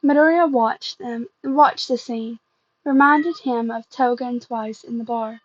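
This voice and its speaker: A woman reading fan fiction aloud as an amateur, in a halting way, often stumbling and repeating phrases. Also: a little high, no room sound, dull audio.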